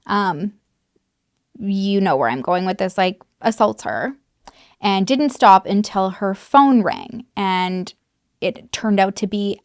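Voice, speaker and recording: A woman's voice: medium pitch, animated, takes it slowly, dry recording, studio quality. A woman recounting a true crime case in a casual, chatty way, full of fillers and the odd swear word. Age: adult mother.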